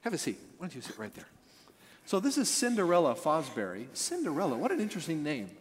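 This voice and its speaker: A man hosting a church service interview, telling stories in a chatty, animated way with lots of fillers. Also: fairly high pitch, quick pace, big-room sound, a little hiss or hum. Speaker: pastor interviewing a guest. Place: church sanctuary with congregation.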